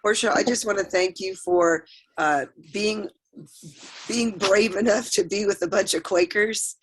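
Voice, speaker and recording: A woman taking part in a workshop on a video call, speaking earnestly and haltingly, often repeating words. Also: medium pitch, husky. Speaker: workshop participant. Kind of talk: heartfelt comments on a video call.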